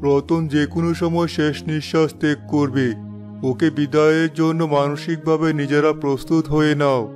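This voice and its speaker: A man's voice: fairly high pitch, level tone, moderate pace, a little mellow, soft background music, natural voice. A man voicing a grave, solemn village healer in a fairy-tale cartoon. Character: grave village healer giving hard news.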